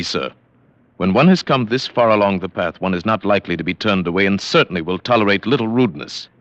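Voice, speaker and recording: A self-possessed, haughty nobleman with a deep voice and quick, clipped delivery. He speaks formally and with cool command, as in old radio drama, on a dry, dull recording. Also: fairly bright tone, no echo. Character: haughty, self-possessed aristocratic gentleman in disguise. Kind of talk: vintage radio drama acting.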